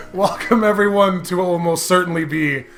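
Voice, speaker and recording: A man hosting a casual, comedic let's play, talking in an excitable, jokey and animated way. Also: high voice, normal speed, gravelly. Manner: laughs often while talking. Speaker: host. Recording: music behind the voice. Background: video game music.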